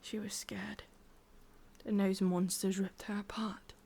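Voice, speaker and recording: Woman playing a female witch, English accent, breathy whisper, slow pace, subdued flat pitch, sorrowful, obsessive, tender yet menacing, ASMR roleplay. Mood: grieving. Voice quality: soft, breathy, mostly whispered. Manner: slow, halting, confiding.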